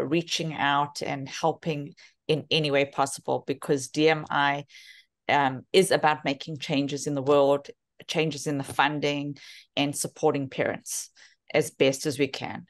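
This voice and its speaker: A woman in her fifties with a South African accent, speaking earnestly as a therapist introducing her work in a webinar. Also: sits low. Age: 50s. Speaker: physiotherapist and therapy program co-founder. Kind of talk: webinar talk, self-introduction.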